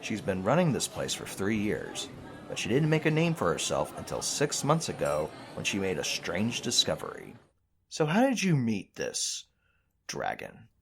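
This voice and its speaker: A man's voice: fairly low pitch, wildly swooping pitch, normal speed, vocal fry, a little hiss or hum, exaggerated voice. A man playing a showy news show host in an audio drama, speaking like a presenter with dramatic emphasis. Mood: showy, polished. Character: male TV news reporter, showy but easily rattled. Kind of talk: audio drama acting as broadcast narration.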